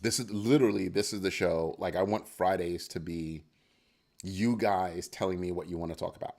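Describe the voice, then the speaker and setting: Male livestream host, low voice, animated and joking with his chat. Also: moderate pace, creaky. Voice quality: deep voice. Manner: animated, playful, reacting to chat comments. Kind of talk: casual livestream chat with viewers.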